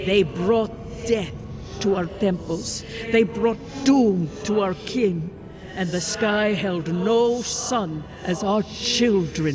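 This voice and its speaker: An actor performs an ancient spirit guardian in a fantasy video game. The delivery is very unhurried and solemn, with formal, archaic phrasing. Prominent music plays underneath. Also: mid-range voice, close to an everyday voice.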